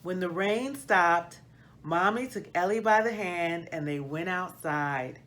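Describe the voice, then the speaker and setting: Slow-paced woman reading to children. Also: lowish voice, a bit bright. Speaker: host of a children's book read-aloud. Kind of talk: expressive children's storybook reading. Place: small plain indoor room.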